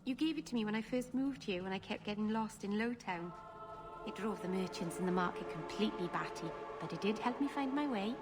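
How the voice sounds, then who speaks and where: A woman playing a gentle, naive young female elf in a fantasy video game, with an earnest, halting delivery. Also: medium pitch, lively intonation, music in the background, natural voice. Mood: fond, chatty.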